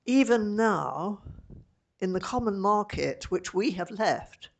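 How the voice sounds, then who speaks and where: A woman in the audience making a point in a deliberate, measured way. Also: mid-range voice, animated, gravelly.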